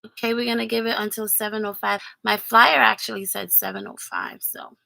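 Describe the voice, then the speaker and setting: A woman's voice: medium pitch, level tone, a little fast, vocal fry, bright, forceful tone, no room sound, low-quality recording. A woman hosting a casual livestream as a children's reading teacher, encouraging and instructive as she talks. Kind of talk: casual livestream chat and phonics lesson with a child. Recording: music playing over the voice. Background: music.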